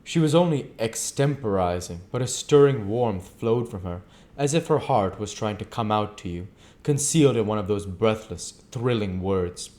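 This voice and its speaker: A man reading a classic novel aloud as an amateur audiobook reader, with deliberate, emphatic, theatrical delivery. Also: fairly low pitch, a little mellow.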